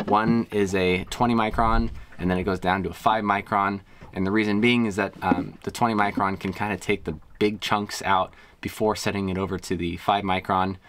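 Low-voiced man explaining boat gear. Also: normal speed, slight background noise. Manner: practical, step-by-step, relaxed. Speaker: sailing vlogger. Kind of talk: casual how-to explanation. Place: inside a small sailboat cabin. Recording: background music over voice.

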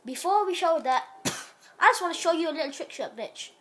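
High-voiced sick woman with a cough. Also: expressive, moderate pace. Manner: rambling, stops to cough. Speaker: gaming channel host. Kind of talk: casual gameplay commentary.

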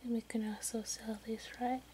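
A woman whispering casually in an ASMR chat, hushed and inquisitive, as a companion asking questions. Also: on the high side, little pitch movement, moderate pace, slight background noise.

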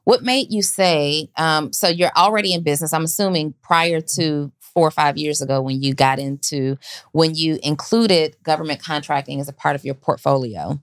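A woman co-hosting a podcast in casual chat, playful and quick to laugh. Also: low-pitched. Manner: playful, animated, laughs easily. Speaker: podcast co-host. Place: podcast studio, indoors. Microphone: studio mic on a boom arm. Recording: dry close studio sound.

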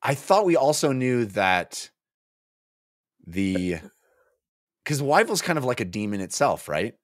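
A man's voice: medium pitch, very animated, measured pace, no echo, clean studio sound. A man in his forties co-hosting a book club podcast, talking casually with jokey, hesitant asides into a desk mic. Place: small home office, indoors. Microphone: close desk microphone on boom arm.

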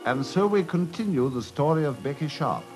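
A man's voice: on the high side, expressive, normal speed, over loud music. A man narrating a 1950s radio drama as its presenter, in scripted storytelling style. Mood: measured. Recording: vintage 1950s radio recording.

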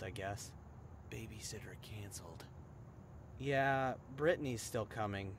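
A man's voice: on the low side, slight background noise. A man playing a brash, chatty young adult friend in a conversational roleplay audio drama, talking bluntly and casually. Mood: let down.

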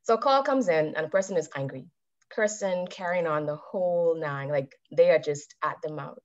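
Calm woman speaking on a muffled video call. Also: deep voice. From Bahamas. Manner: calm, measured, asks the audience questions. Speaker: club speaker. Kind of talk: talk to a club on video call. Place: indoors with a virtual background. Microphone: webcam on a video call. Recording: dull video-call audio.

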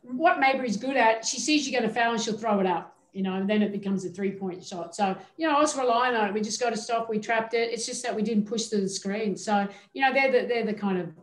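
A woman's voice: on the high side, fairly quick, hardly any pauses. A middle-aged woman head coach answering questions at a postgame press conference, speaking candidly with plenty of fillers and restarts. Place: indoor media room before a team backdrop. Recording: echoey room sound.

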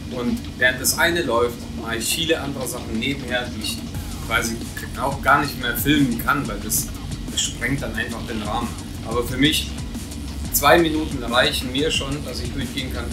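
A man explaining plumbing work aloud in a plain, matter-of-fact manner, voice set back from the mic in a hard-walled, echoing heating room. Music plays clearly underneath him. His pitch sits in the middle range. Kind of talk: on-the-job explaining for a video. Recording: echoey room, voice from a distance.

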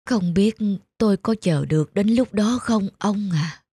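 A woman voicing a gentle, ailing old farm wife in a cartoon, tender and wistful. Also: medium pitch, measured pace, slightly rough, no room sound, studio quality, soft background music. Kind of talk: cartoon dubbing.

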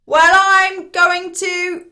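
A woman voicing a terrible, greedy male troll in a children's story, with slow, drawn-out, chanting threats. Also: very high voice, little pitch movement, sharp tone, voice pushed up, heavily acted. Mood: menacing. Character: terrible hungry bridge troll, male, menacing, gloating.

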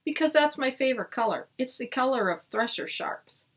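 A woman's voice: sits high, lively intonation, moderate pace. A hurt, nervous young boy, read by a woman. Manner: sniffly and nervous.

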